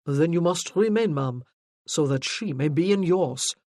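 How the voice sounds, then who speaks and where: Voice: mid-range voice, normal speed, no room sound, studio quality, lightly acted. An audiobook narrator voicing a courteous but steely nobleman with a French accent. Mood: firm. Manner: calm, firm, courteous.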